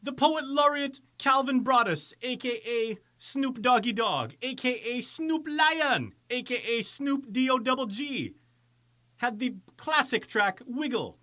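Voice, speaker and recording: A man's voice: very high-pitched, expressive, unhurried, pushed and bright. A man presenting in a mock-formal, grand scripted announcing manner. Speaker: presenter introducing readings.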